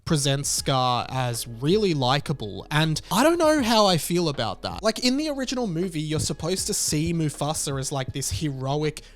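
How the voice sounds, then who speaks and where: Higher-pitched thirty-year-old man, casual commentary. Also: expressive, normal speed, few pauses. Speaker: video commentator and movie fan.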